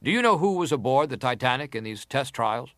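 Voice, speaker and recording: A formal, pressing senator played by a man examines a witness in a historical drama. His mid-pitched male voice is deliberate and insistent, recorded dry with no room around it. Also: animated, no echo, natural voice. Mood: probing, formal. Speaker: actor reading an examining senator. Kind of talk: dramatised reading of hearing testimony.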